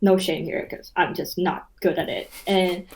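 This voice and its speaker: Young adult woman from Vietnam, non-native English, easygoing guest, halting and self-correcting. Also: fairly low pitch, fairly even intonation, a little mellow. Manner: hesitant, restarts, light laughs. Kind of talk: casual podcast chat.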